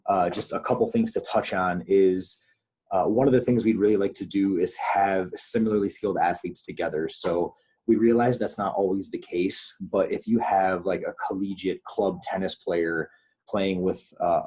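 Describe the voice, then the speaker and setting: A man with a husky voice leading a coaches' conference call, explaining guidelines in an informal, conversational way. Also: sits low, darkish tone, rough recording. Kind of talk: informal instructional presentation with questions.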